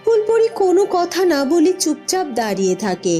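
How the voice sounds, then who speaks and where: Lively female storybook narrator. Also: extremely high pitch, brisk, faint music behind.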